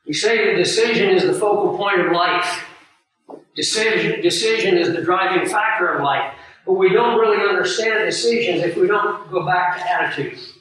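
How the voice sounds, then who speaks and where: Hoarse preacher in a booming echoey room. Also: male voice, fairly bright tone. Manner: earnest, emphatic, unhurried teaching with pauses. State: hoarse, scratchy from flu. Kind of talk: sermon teaching with live audience. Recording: very echoey room, voice far from mic.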